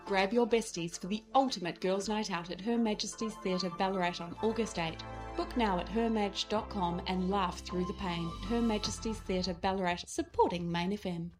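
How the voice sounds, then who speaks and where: A woman's voice: medium pitch, normal speed, over music. A woman reading a scripted radio advertisement in an upbeat, polished way. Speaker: ad voice-over.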